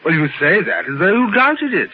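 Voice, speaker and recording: Affected upper-crust salesman, suave and overfriendly, lilting pitch, muffled old radio drama. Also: very animated, normal speed, slightly rough, exaggerated voice. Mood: effusive. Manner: affected, chummy. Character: suave, effusive, snooty male high-society insurance salesman. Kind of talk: 1950s radio drama acting. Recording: muffled, noisy vintage radio recording.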